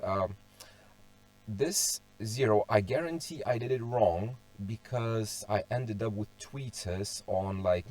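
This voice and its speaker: A young man who is sick and going hoarse walks through a tutorial casually, thinking aloud, restarting phrases and clearing his throat often. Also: on the low side, darkish tone, poor audio. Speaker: car audio hobbyist presenting a tuning walkthrough. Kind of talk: casual screen-share tutorial.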